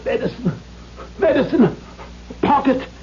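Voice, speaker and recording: An actor playing a stern, cruel old father in a 1970s radio drama, halting and emphatic, repeating his words. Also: very high voice, lively intonation. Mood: gasping, desperate. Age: older. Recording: dull 1970s radio broadcast with crowd murmur.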